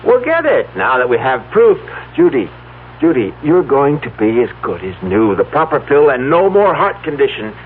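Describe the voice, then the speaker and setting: An actor playing a devoted, stubborn farmer husband in an old radio drama, with an emphatic, theatrical delivery. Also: male voice, mid-range voice, very animated, normal speed, close to an everyday voice. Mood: elated, defiant. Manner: theatrical, emphatic, stammering when unsettled. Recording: tinny, hissy old radio recording with hum.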